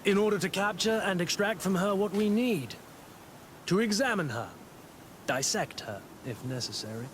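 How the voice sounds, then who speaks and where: A man's voice: sits high, expressive, moderate pace, background noise. An actor playing a cold, ruthless henchman officer in a video game, speaking formally and matter-of-factly. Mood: cold, menacing. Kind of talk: dramatic video game acting.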